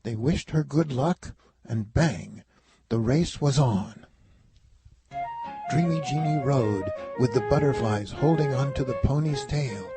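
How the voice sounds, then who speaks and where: A man's voice: mid-range voice, slow pace, mellow. A man narrating a children's story as a read-aloud. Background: music.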